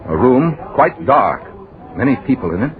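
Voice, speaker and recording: Thin old radio sound, man acting as bewildered young narrator, haunted, dramatic, halting fragments, animated pitch. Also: a crowd in the background, natural voice. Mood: haunted, awed. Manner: dramatic, halting, broken phrases, repeats words. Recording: phone-like, noisy old 1940s radio recording.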